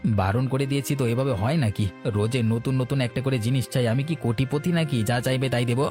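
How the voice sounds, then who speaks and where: A harried village husband and street peddler from a folk-tale cartoon, acted by a man. He complains in a quick, emphatic stream at an ordinary male pitch. Soft music plays behind him. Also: lightly acted. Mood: exasperated.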